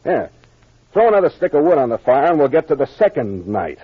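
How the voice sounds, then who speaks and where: Old time radio detective captain, american, husky, swingy delivery, explains it all confidently, muffled vintage recording. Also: male voice, fairly high pitch, sing-song, a little fast. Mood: brisk, explanatory. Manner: expository, authoritative, plain-spoken with gangster slang. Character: brisk, confident captain explaining a solved mystery. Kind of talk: 1940s old-time radio mystery acting.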